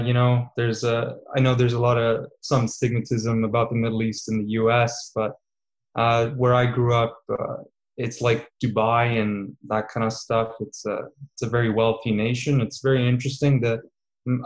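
A man's voice: mid-range voice, poor audio. A man in his twenties, a student guest in a casual interview, talking with many fillers and easy laughs. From Serbia, raised in Qatar. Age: 20s.

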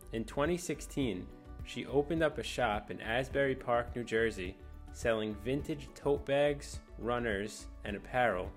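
A man reading scripted narration for a short profile piece. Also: on the low side.

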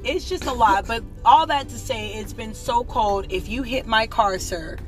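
A hoarse young woman chatting playfully in a parked car. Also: on the high side, unhurried, faint music behind. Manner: playful, laughing, churchy slang. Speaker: vlog co-host. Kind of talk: casual chat in a car. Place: parked car in a drive-thru line.

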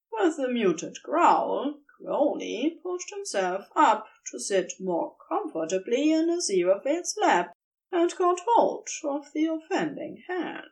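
A woman reading fan fiction aloud, with slow, expressive storytelling. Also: on the high side, rough recording. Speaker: podfic reader.

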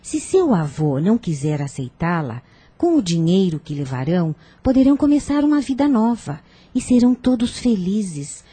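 A woman playing a firm, persuasive older aunt in a radio soap opera, genteel, formal and measured. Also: medium pitch, sing-song, warm tone, slightly rough, dry recording. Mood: reassuring.

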